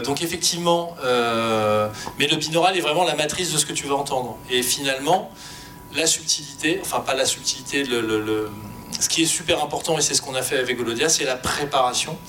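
A man speaking informally and spontaneously as a panelist, explaining technical work with halting, repeated words. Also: sharp tone. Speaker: sound fiction creator on an expert panel. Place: panel discussion before an audience. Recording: faint rumbling background noise.

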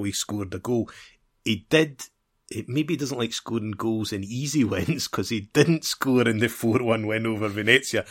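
A man co-hosting a football podcast in casual chat, rambling and repeating phrases as he builds his point. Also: lowish voice.

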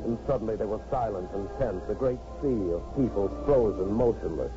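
An actor as a solemn, dramatic narrator of a 1950s radio drama. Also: male voice, lowish voice, fairly quick, over music, close to an everyday voice. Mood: solemn, suspenseful. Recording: muffled old radio with orchestral score.

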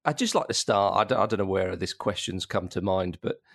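A man with a fairly low voice hosts a casual football show. His pitch moves around a great deal, and he sounds jovial and chuckly, often stopping and restarting as he feels his way through a sentence. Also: animated, vocal fry, fairly bright tone. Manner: jovial, chuckling, halting delivery. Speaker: podcast host. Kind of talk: casual football podcast banter.

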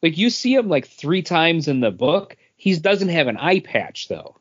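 A man's voice: on the high side, sing-song, measured pace, fairly bright tone, slightly rough. Casual man critiquing comics, muffled audio. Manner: critical, explains details patiently. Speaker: podcast co-host. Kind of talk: casual comic review chat. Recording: dull lo-fi podcast audio.

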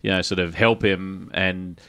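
A man co-hosting a casual gaming discussion, talking in an articulate, measured way with some emphasis. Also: low-pitched, fairly even intonation, a bit bright, clean tone, dry recording, studio quality. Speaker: podcast co-host.

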